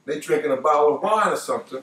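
A man in his late fifties with a New York accent, telling a personal story casually in an animated way, repeating phrases for emphasis. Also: a little high, racing. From New York, United States. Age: late 50s. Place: living room, seated on a couch. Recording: roomy, voice distant from microphone.

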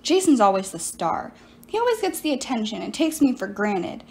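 A woman's voice: a little high, very animated, moderate pace, darkish tone. A woman voicing a sulky, sarcastic teenage boy tinkerer in an audiobook.

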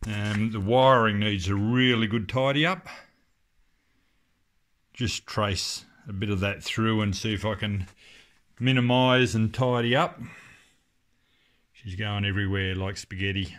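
A middle-aged man talking casually through a car restoration in his home garage, thinking aloud with many pauses and ums. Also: fairly low pitch, steady tone, fairly bright tone. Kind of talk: casual workshop commentary. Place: home garage workshop, indoors.

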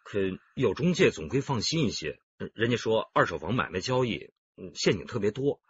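An actor playing a cautious, joking husband in a radio drama, with a theatrical teasing manner. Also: male voice, lowish voice, big pitch swings, brisk, slightly rough, muffled recording, full character voice. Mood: hesitant, wary. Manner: theatrical teasing, hesitant when worried.

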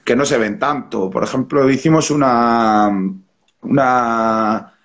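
A man in his thirties with a Spanish accent, speaking at a middle pitch over a video call from a small room at home. His talk is relaxed and rambling, full of restarts and fillers as he explains his brewery. The laptop mic gives it a plain home-call sound. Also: takes it slowly, muffled recording. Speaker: brewer and brewery founder, guest. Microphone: webcam or laptop mic on a video call.

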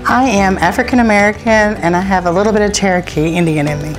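A woman answering interview questions in a calm, deliberate way. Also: medium pitch, music in the background.

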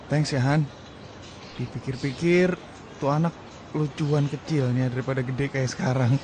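Radio drama: actor as a grateful, reflective older brother, middle pitch, noisy background. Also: male voice, steady tone, moderate pace, darkish tone, lightly acted. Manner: casual, reflective. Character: thoughtful young man Haji, Damar's older brother.

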